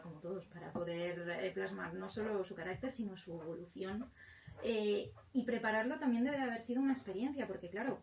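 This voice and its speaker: A woman's voice: mid-range voice, a little mellow, a little hiss or hum. A woman, working as a radio interviewer in a conversational style.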